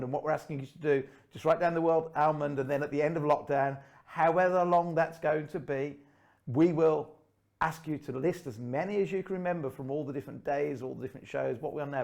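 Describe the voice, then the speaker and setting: A man's voice: a little high, fairly even intonation, brisk, gravelly, darkish tone. Upbeat man hosting. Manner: upbeat, chatty, laughs often. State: slightly croaky. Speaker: host. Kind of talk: casual online show presenting. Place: room under his house.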